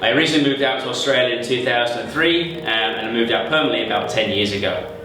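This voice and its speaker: A middle-aged Scottish man with a mid-range voice talks about himself in a measured way. He sits well back from the mic, so the room rings around him, and music plays behind. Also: medium pitch. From west coast of Scotland. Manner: measured, with frequent ums. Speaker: school staff member. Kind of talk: personal introduction to camera. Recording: echoey room, distant mic, background music.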